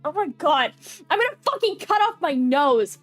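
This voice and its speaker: Young woman, high-pitched, nasal, stuffy nose, chatty and giggly, streamer talk, faint music behind. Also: big pitch swings, moderate pace. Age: young adult. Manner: chatty, lots of fillers and giggles. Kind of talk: casual chat with viewers.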